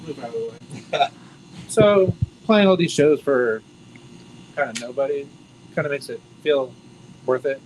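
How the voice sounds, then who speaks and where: An American man from a band tells a story in an animated way with a slightly higher voice. Faint noise sits under his words. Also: unhurried, long pauses, low-quality recording. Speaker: band member. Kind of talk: casual storytelling.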